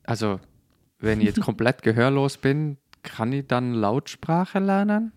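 A man's voice: medium pitch, animated, measured pace, a little mellow, dry recording, clean studio sound. A man chatting casually on a podcast, colloquial and searching for his words. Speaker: podcast host.

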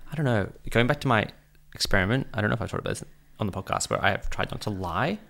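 Lively gravelly man rambling on a podcast. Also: on the low side, rapid-fire. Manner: rambling, self-deprecating joker. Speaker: podcast co-host. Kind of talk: casual chat.

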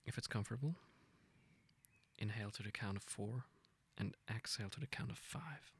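A man with a low, husky voice full of vocal fry guides a meditation. He speaks softly and gently, without hurry, in an informal and intimate way. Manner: gentle, unhurried, informal with self-corrections. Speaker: meditation guide, podcast host.

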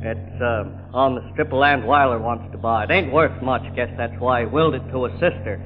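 An actor playing a plainspoken, gossipy middle-aged farmhand and ex-soldier with rustic country speech in a 1940s radio drama. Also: male voice, mid-range voice, a little fast, natural voice. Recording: tinny old radio recording, noisy hum.

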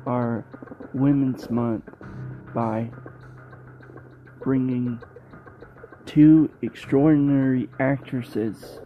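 A man hosting a podcast, reading an outro in halting, broken phrases. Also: medium pitch, steady tone. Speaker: podcast host. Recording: dull, muffled podcast recording. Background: faint guitar music.

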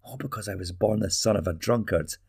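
A man playing a bitter, vengeful young man who hisses and shouts his resentment at his mother. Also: sits low, animated, measured pace, gravelly, husky, exaggerated voice. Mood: bitter, shouting.

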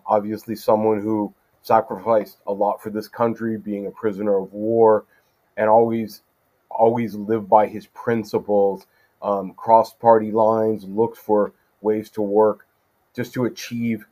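A middle-aged man from California, a judge as podcast guest, answering in a thoughtful, measured way with frequent fillers. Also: on the low side, warm tone. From California, USA. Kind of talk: casual interview conversation.

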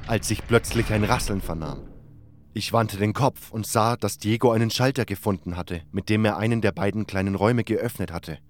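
A man reading scripted first-person fantasy narration as an audiobook narrator. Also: low-pitched. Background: music.

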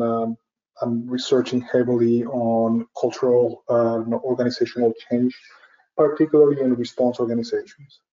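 A middle-aged man from Venezuela talks through his background as an interview guest, pausing often and restarting his sentences. His delivery is flat and even, with a mellow, darker tone. The audio is dull and lo-fi. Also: mid-range voice. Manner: halting, many fillers and restarts. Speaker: guest, safety professional. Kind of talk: interview answer.

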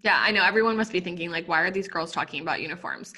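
A woman's voice: on the low side, rapid-fire, bright, forceful tone, breathy edge. Chatty young woman hosting a podcast. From Puerto Rico. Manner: chatty, many fillers and asides. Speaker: podcast host. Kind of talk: casual podcast interview.

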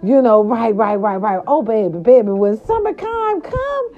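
A grandmother from California talking casually to her viewers, animated and chatty, with salty slang and lots of "right, right" fillers. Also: a little high, moderate pace. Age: older. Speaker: vlogger. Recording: dull lo-fi sound.

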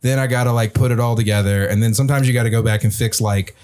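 A man's voice: mid-range voice, steady tone, fast talker, a little mellow. A man hosting a podcast, joking with his co-hosts in loose, rambling banter full of "like". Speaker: podcast host. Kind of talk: casual banter.

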